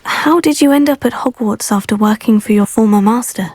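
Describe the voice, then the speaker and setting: A woman's voice: a little high, brisk, darkish tone, no room sound, studio quality. A woman voicing the polite, composed player character in a video game. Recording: faint game music behind.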